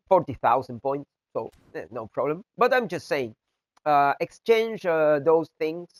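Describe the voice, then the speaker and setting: A man with a nasal, flu-congested voice, speaking English as a second language, gives a casual video game tutorial that is halting and full of fillers and restarts. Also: a little high, no echo. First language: not English. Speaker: video game content creator. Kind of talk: casual gameplay tutorial talk.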